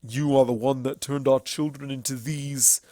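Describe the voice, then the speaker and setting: Man acting a loud, bitter, ancient stallion. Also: mid-range voice, fairly even intonation, dry recording, studio quality, close to an everyday voice. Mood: scornful fury. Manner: declamatory, scornful, drawn-out emphasis. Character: bitter, ancient alicorn stallion, furious accuser.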